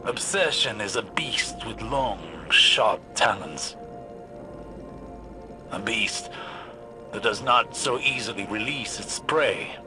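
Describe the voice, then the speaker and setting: An actor playing a grave, world-weary older mentor in a video game, speaking in a measured, philosophical way. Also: male voice, lowish voice, animated, music in the background. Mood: solemn, rueful. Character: grave, contemplative, world-weary male scholar-warrior mentor. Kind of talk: video game dialogue acting.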